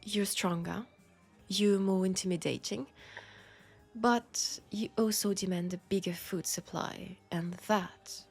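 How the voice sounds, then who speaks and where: A woman playing a lonely, centuries-old female vampire in an intimate audio drama, with a French accent and a soft, confiding, unhurried delivery. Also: medium pitch, fairly even intonation, faint music behind, heavily acted. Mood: dark amusement. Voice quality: soft, breathy, often dropping to a whisper. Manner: unhurried, confiding, pausing between phrases.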